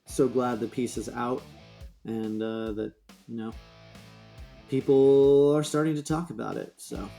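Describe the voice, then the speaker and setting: A man's voice: mid-range voice, steady tone, measured pace, creaky, pauses often, mellow, faint music behind. A man speaking casually as a guest on a podcast interview.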